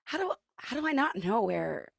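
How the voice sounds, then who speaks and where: Gravelly, expressive woman host. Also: fairly high pitch, moderate pace, no echo, clean studio sound. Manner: expressive, exclaims and reacts loudly. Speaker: show host. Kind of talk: casual interview chat.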